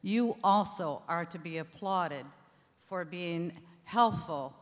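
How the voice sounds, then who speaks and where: Older lady doing a thank you speech, slow and deliberate, echoey hall. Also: fairly low pitch. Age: older, 60s or above. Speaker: charity founder giving thanks. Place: theatre stage before an audience. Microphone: microphone on a stand. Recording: reverberant hall sound.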